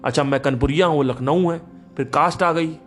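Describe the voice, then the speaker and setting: A man hosting a podcast, giving a casual spiritual talk in an emphatic, animated storytelling manner. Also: a little high, a little fast, soft background music. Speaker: podcast host.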